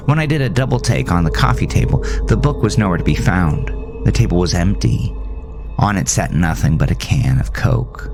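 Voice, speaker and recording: A man playing an uneasy man in his mid-thirties, narrating a horror audio drama in a measured, confiding, brooding way. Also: very deep voice, gravelly, heavily acted. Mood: uneasy, puzzled.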